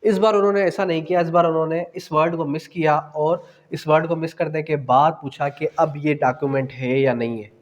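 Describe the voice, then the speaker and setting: A man's voice: a little high, steady tone, normal speed. A Pakistani man giving an exam-prep law lecture, didactic, repeating phrases and posing questions that he answers himself. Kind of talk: exam-prep lecture mixing in English legal terms. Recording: long echoing reverb of a hall.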